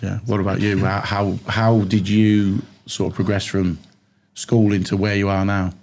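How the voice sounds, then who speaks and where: A husky-voiced British man, tired from lack of sleep, hosting a casual trade podcast. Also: fairly low pitch, level tone, moderate pace, darkish tone. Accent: British English. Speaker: podcast host.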